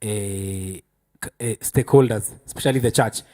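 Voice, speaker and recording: A man's voice: lowish voice, moderate pace, darkish tone. A Kenyan man moderating a casual panel talk, interjecting and prompting the speakers.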